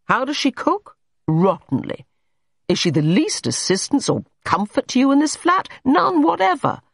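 Reader doing an irritable domineering guy in an audiobook, brusque and scolding, bit husky, very animated. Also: medium pitch, measured pace, no echo, clean studio sound, full character voice. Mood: exasperated. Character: irritable, domineering, gruff male painter.

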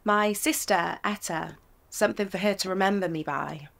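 An actress playing a young working-class woman about to emigrate, lively and teasing, in an audio drama. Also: mid-range voice, moderate pace, vocal fry. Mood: earnest. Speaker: photography client.

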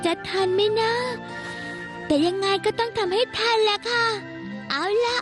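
A woman voicing a sweet young seamstress in a dubbed cartoon, soft, polite and earnest. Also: extremely high pitch, measured pace. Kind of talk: Thai dub of a Japanese cartoon. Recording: music bed behind dialogue.

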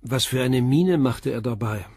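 Probing, composed police commissioner. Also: male voice, medium pitch, a little mellow, slightly rough, dry recording, studio quality. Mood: persistent, pressing. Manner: probing, measured questioning. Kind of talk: German crime radio play dialogue.

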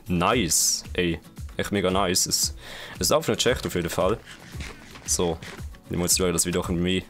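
A young man from Switzerland with a Swiss German accent, casually commenting live on his own gameplay, excitable and full of fillers. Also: low-pitched. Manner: casual, excitable, many fillers and quick reactions. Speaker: gaming channel host.

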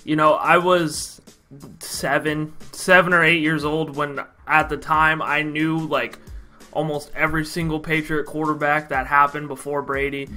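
A young American man with a fairly high, bright voice talks casually to his viewers. He is a chatty storyteller who addresses them like a showman, recorded at home in a small room. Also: little pitch movement, a little slow, soft background music. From Idaho, United States. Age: young adult. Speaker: vlogger telling personal stories.

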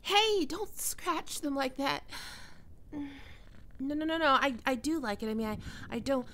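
A woman's voice: high-pitched, a little slow, a bit bright, a little hiss or hum. A woman playing a defensive young half-vampire catgirl roommate in an ASMR roleplay, teasing and stammering with hesitant tsundere bluster. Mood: flustered, embarrassed.

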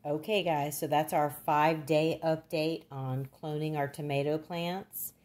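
A woman's voice: low-pitched, fairly even intonation, unhurried, darkish tone. An older woman giving a casual, plain-spoken how-to demonstration, recorded indoors in a kitchen. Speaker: gardening demonstrator.

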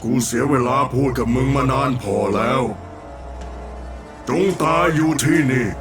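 A man voicing an evil demon abbot in an animated horror story, with dramatic delivery and loud music underneath. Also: medium pitch, lightly acted. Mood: menacing contempt. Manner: menacing, slow, dramatic delivery. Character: evil demon abbot monk of a forest temple, cruel, contemptuous.

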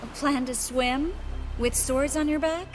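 An actress playing a sharp-tongued, mocking sorceress in a video game, with an arch, sardonic delivery. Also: female voice, sits high, measured pace, faint music behind.

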